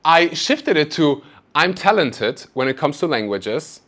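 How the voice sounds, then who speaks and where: A man whose first language is German, hosting a podcast interview in a casual, animated way and telling personal stories with self-deprecating humor. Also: a little high, unhurried. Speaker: podcast host.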